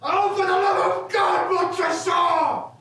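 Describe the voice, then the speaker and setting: A high, echoing, desperate doomed nobleman. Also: fairly even intonation, vocal fry, sharp tone, shouting, heavily acted. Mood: desperate terror. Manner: halting, stammering, repeating words. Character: drunken walled-in nobleman, desperate, feebly joking, pleading. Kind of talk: gothic audio drama acting. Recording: cavernous echo, distant from the mic.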